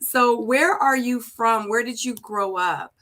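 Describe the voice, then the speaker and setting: A woman's voice: fairly high pitch, slow pace, fairly bright tone. A woman hosting a casual interview, speaking in an informal, effusive and encouraging way. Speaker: interview show host.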